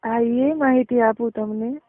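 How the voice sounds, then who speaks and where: A woman's voice: a little high, measured pace. Gujarati-accented woman on a tinny phone line. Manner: colloquial, explanatory, often checking the listener understands. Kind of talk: casual private phone chat. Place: recorded phone call. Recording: muffled narrowband phone call recording.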